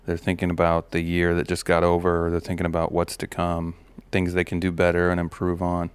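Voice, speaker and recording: Deep, flat-voiced man musing aloud. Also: fairly quick, dry recording. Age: adult parent of a daughter. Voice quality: slight gravel in the voice. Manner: relaxed, musing, many fillers and restarts. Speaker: podcast host. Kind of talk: reflective personal monologue.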